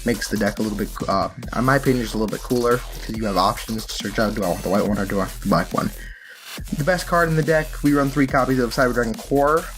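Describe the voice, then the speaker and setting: A man's voice: mid-range voice, quick pace, a bit bright, soft background music. A man giving casual, unscripted commentary on card game play and decks, explaining things and correcting himself as he goes. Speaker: card game channel host.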